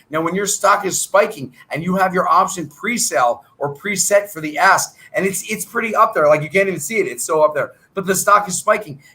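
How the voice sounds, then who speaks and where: High, bright-voiced man coaching traders. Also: rough recording. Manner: brisk salesy patter, thinking aloud. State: losing his voice. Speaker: trading coach. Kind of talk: live stream chat.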